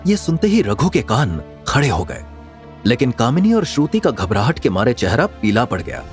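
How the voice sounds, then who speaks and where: A male narrator tells a dramatic audio story. His mid-pitched voice moves expressively up and down over a bed of background music. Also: huge pitch swings, normal speed.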